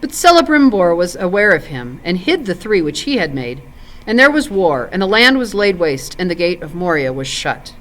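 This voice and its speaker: Female narrator for literary read-aloud: measured, expressive, with widely moving pitch. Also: rough recording. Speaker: volunteer story reader. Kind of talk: scripted storybook narration.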